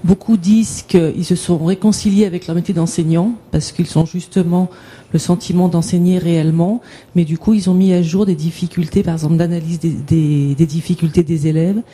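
A speaker at an academic discussion reports findings in halting phrases that often restart. The voice is very dark and somewhat husky. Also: mid-range voice, fairly even intonation.

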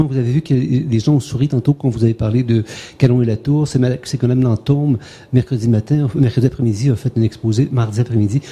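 A man's voice: medium pitch, warm tone, a little hiss or hum. A man from Quebec with a Québécois French accent, a conference participant who works through a long anecdote and repeats words as he searches for them. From Canada, Quebec. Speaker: conference participant asking a question. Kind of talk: academic discussion with anecdotes.

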